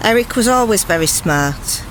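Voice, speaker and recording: A woman's voice: mid-range voice, animated, normal speed, noisy background. A woman casually reminiscing about the past.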